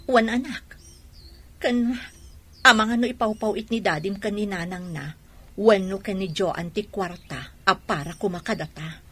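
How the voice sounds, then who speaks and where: A husky, wronged, resolute mother. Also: mid-range voice, unhurried, a bit bright. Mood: grave, explaining. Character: wronged, protective wife and mother, adult, resolute. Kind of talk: Ilocano radio drama acting.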